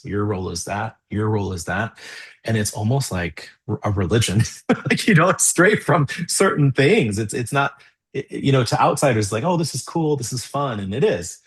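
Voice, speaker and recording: Middle-aged guy chatting as a guest, deep husky voice, laughs easy. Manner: thoughtful, laughs easily, animated when explaining. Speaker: guest, composer and theology professor. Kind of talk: conversational interview.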